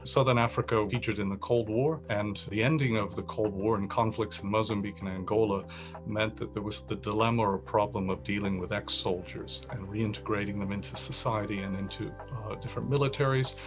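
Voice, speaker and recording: Lower-voiced man, steady explanatory academic talk, light music under. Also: a little fast. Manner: steady and explanatory, with some restarts. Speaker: professor. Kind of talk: interview-style talk about research.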